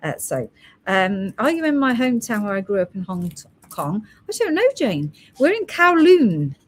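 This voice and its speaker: A woman's voice: mid-range voice, sing-song, normal speed, slight background noise. A woman hosting a live stream selling gemstone beads, chatting casually and reading out product codes and prices. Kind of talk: casual live sales chat.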